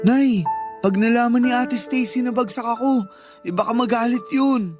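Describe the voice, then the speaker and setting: A cheeky younger brother voiced by a man in a radio drama, with a very high-pitched voice. He is a playful student who cajoles and wheedles his sister. Light music runs behind him. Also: moderate pace. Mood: uneasy.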